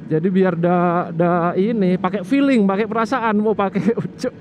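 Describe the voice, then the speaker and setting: A man's voice: high-pitched, steady tone, normal speed, a little mellow. A man casually explaining a scooter maintenance trick outdoors, rambling and often restarting or correcting himself. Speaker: motovlogger. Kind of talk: casual how-to vlog chat. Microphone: action camera. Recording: muffled action-cam audio with street noise.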